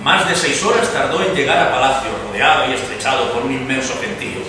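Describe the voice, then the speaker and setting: A man playing a grandiloquent, courtly gentleman recounting events emphatically in a dramatized radio-play reading. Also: medium pitch, quick pace, natural voice. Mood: grand, admiring. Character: grandiloquent gentleman Señor Estrada, eager eyewitness storyteller. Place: live stage reading in a hall. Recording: distant echoey hall, clear noise.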